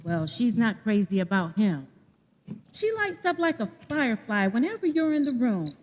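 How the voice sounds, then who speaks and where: A woman plays a gentle small-town mother who likes to prod. Her voice is fairly high and lilting, with long hall reverb, as in a live radio play. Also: big pitch swings, moderate pace. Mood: gentle, prodding. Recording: echoey hall, faint noise.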